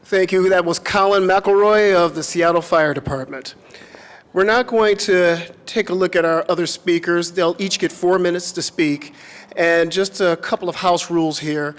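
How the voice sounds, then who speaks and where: A man moderating a public community meeting, making relaxed, informal announcements. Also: sits high, normal speed. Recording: speaking in a hall with long reverb.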